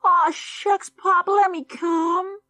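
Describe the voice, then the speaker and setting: A woman voicing a spoilt young boy in an audiobook, whining and pleading in an aggrieved, drawn-out way. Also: very high voice, steady tone, bright, forceful tone, no echo, pitched above the performer's own voice, exaggerated voice. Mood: whiny, wheedling.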